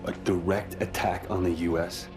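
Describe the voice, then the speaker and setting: A man's voice: deep voice, level tone, moderate pace, close to an everyday voice. A man playing a grim, blunt soldier in dramatic war game dialogue. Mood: grim, doubtful. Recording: loud music behind.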